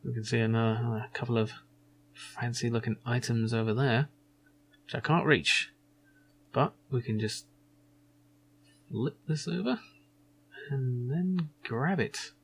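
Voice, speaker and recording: A man's voice: mid-range voice, measured pace, long pauses, slight background noise. A man playing through a video game and commenting casually as he reads and explains. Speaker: gaming host. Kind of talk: video game playthrough with self-voiced dialogue.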